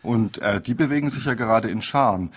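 A man's voice: medium pitch, brisk, husky. A man speaking spontaneously and hesitantly in an intellectual discussion, searching for his words. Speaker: discussion participant.

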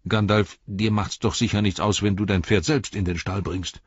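A man's voice: lowish voice, fairly even intonation, moderate pace, slightly rough, no echo, lo-fi sound. A man voicing a hearty, talkative, flustered innkeeper who exclaims, rambles and interrupts himself in an audiobook story. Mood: flustered, bustling.

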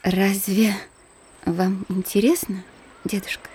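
An actress playing a gentle, reserved young married woman who talks softly and confidingly in a radio play. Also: mid-range voice, little pitch movement, takes it slowly, slightly rough. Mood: tender. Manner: soft, restrained, confiding. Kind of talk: theatrical radio play acting.

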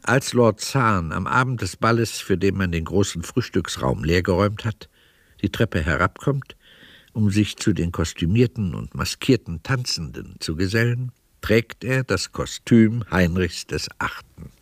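A male narrator reads scripted scene descriptions for a radio play. His voice is fairly low with a creaky grain and varied melody, recorded dry. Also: expressive, measured pace, no room sound.

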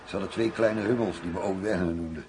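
Casting: actor for wry middle-aged undercover cop, very low male voice, measured, world-weary, radio drama with slight background noise. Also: steady tone, darkish tone, lightly acted. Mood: weary, reflective. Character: weary, wry greying German undercover police commissioner. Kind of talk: Dutch radio drama acting. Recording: noisy radio play recording.

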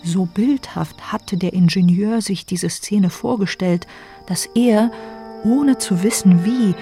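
A woman's voice: medium pitch, slow pace, warm tone, faint music behind. A woman narrating a story in a dramatic, scripted radio play style.

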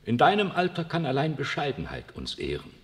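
An imperious aging pope in a 1960s radio drama, lofty and cold. His pitch swings very widely, and the voice rings with a long reverb. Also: huge pitch swings, brisk. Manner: lofty, cold, uses royal we. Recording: long reverb of a large room.

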